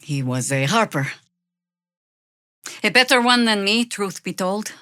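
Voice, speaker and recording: An actress playing a world-weary, stern veteran druid woman in a video game, with dry, sardonic, measured delivery. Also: medium pitch, expressive, sharp tone, no room sound. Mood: wistful, earnest. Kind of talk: scripted game character dialogue and spell calls.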